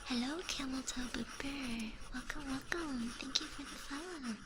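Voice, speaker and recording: A young woman from the United States whispering gently into a close microphone as an ASMR streamer, chatting with viewers with small laughs and restarts. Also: high voice, moderate pace, loud music behind the voice. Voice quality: whispery, soft and breathy. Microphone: close sensitive ASMR microphone.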